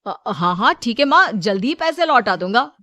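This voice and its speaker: A woman playing a selfish, smooth-talking adult son with a casual, self-serving manner in a Hindi moral story. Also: fairly high pitch, big pitch swings, moderate pace, bright, forceful tone, dry recording, clean studio sound. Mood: wheedling.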